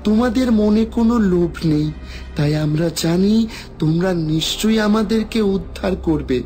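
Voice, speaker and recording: A woman voicing a gentle magical pond that reassures and guides in a cartoon fairy tale. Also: fairly low pitch, normal speed, lightly acted. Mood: reassuring. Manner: reassuring, instructing. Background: background music.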